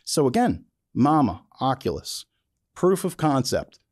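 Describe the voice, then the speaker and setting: A man delivers an off-the-cuff, opinionated monologue as a podcast host. His voice is mellow and sits at an average pitch, but it moves around a lot as he drives his points home. The phrasing is blunt and colloquial, and the sound is dry and close. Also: very animated, unhurried, no echo. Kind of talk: opinionated casual monologue about filmmaking.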